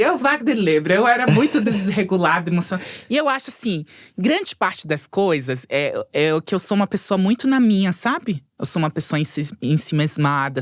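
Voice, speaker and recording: A man who is not a native speaker, a sexologist guest telling stories in an animated, jokey way in a casual podcast interview. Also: a little high, no room sound, studio quality. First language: not Portuguese.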